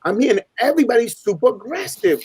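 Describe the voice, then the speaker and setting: A man's voice: very high-pitched, sing-song, fast, vocal fry, a little mellow, breathy edge. A man who is a native Spanish speaker tells stories casually on a panel, using slangy street talk, repeating himself emphatically and acting out dialogue. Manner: emphatic, repeats phrases, acts out quoted dialogue. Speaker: panelist on a live open panel. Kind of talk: casual animated storytelling.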